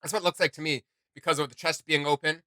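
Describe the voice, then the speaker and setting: A man hosting a reaction video, chatting casually in an excitable, slangy way. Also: on the high side, fast talker, no room sound, clean studio sound. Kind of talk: casual chat, reaction commentary. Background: music.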